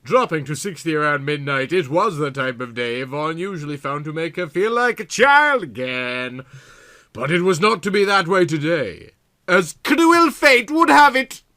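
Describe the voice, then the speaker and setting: A man's voice: on the high side, big pitch swings, moderate pace, pushed and bright. A man reading a story aloud in a theatrical, comic style with exaggerated, melodramatic delivery. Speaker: audiobook reader.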